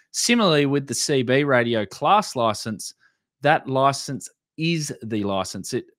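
A man's voice: medium pitch, a bit bright, dry recording. A man in his thirties with an Australian accent explains things in a plain, instructional tutorial style, close to the microphone. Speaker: presenter of an amateur radio channel. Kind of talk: explanatory tutorial narration over slides.

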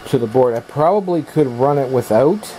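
Male repair vlogger, casual and halting, thinking aloud; noisy handheld camera sound in a small workshop. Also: medium pitch, lively intonation. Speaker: electronics repair hobbyist hosting a video. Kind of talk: casual hands-on repair commentary. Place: small indoor workshop at a workbench. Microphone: handheld camera's built-in microphone.

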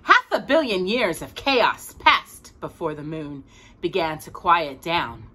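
A middle-aged woman hosts a children's storytime with a lively, swooping delivery. Her voice is bright and forward, and she takes her time through each phrase, leaning on important words with clear expression. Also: on the low side. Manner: expressive, deliberate, with emphatic word stresses. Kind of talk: animated read-aloud of a picture book to a child. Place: small indoor room with bookshelves.

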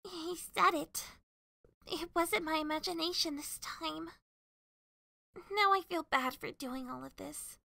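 A woman's voice: extremely high pitch, slow, vocal fry, pauses often, sharp tone, full character voice. A woman voicing a feisty, lovestruck young hedgehog girl in a cartoon comic dub, emotive and full of exclamation. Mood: hesitant, soft. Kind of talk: fan comic dub acting.

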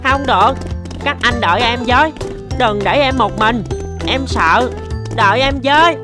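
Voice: mid-range voice, measured pace, lightly acted. Actor as childish little brother, eager, cartoon, over music. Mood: anxious, pleading. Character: young boys, three brothers, eager and childish. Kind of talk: cartoon voice acting. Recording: music under the dialogue.

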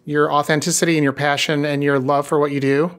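A man's voice: fairly high pitch, little pitch movement, fairly bright tone. A man hosting a business podcast, chatting with a guest in an enthusiastic, rambling way. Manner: enthusiastic, rambling, drawn-out phrasing. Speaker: podcast host. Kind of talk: casual interview chat.

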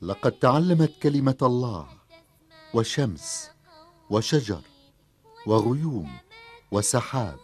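A man's voice: medium pitch, sing-song, slow pace, long pauses, darkish tone. Male storybook narrator with mid-range voice. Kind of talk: scripted storytelling narration for a children's cartoon.

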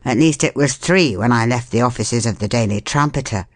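A woman voicing a hard-worked gentleman journalist in a storybook, brusque and sensible yet courteous. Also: extremely low pitch, a little fast, no echo. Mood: matter-of-fact. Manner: brusque, courteous, matter-of-fact. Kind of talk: storybook character voice.

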